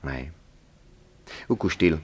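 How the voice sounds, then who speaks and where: An actor playing a calm, methodical detective in a 1960s French radio drama, probing with deliberate courtesy. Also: male voice, very deep voice, exaggerated voice. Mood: reasoning. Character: calm, methodical male detective questioning a witness.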